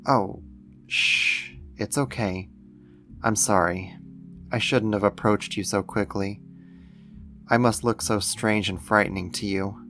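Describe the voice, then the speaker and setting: Doting alien owner male actor, low soft-spoken ASMR delivery, soothing and coaxing, slightly condescending, faint music behind. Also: fairly even intonation, takes it slowly, pauses often, heavily acted. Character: gentle, doting male alien-like being soothing a new pet.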